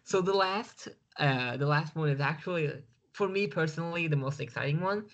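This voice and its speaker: A man's voice: a little high, moderate pace, fairly bright tone, lo-fi sound. A man from Turkey with a Turkish accent, a guest speaking over a video call, hesitant and full of fillers.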